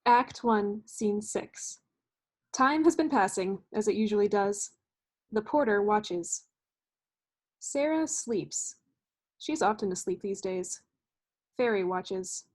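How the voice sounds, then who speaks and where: A woman reads stage directions for a play. Her voice sits at a middle pitch, in scripted narration over a video call. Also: animated, slow pace, long pauses, a little mellow.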